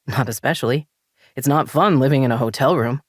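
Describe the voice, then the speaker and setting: A woman's voice: a bass voice, brisk, no echo, studio quality. A woman reading as a defensive husband. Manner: defensive, sulky.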